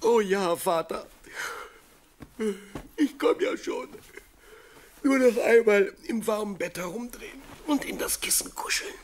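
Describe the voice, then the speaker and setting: A man playing a sleepy, cheerful young shepherd boy in an audio play, with a playful and expressive manner. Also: high voice, unhurried, pauses often, slight background noise. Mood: sleepy, grumbling. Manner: playful, expressive, talks to himself and his sheep.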